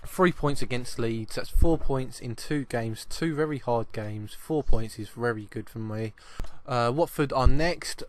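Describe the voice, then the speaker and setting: A man, slightly croaky with a cough, giving chatty football video game commentary and often repeating his first words. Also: medium pitch, unhurried, hardly any pauses. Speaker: gaming channel host.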